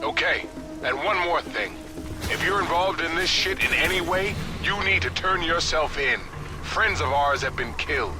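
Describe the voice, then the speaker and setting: A man playing a principled, gruff, blunt military contractor in a video game cutscene. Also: on the high side, expressive, normal speed, music in the background, close to an everyday voice. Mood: stern, serious.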